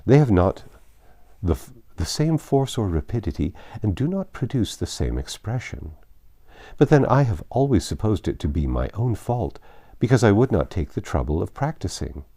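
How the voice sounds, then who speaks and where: A man voicing a witty, playful young gentlewoman in a storybook reading, with an arch, articulate manner. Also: on the low side, sing-song, moderate pace, voice pushed up. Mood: self-deprecating. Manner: arch, teasing, formally articulate.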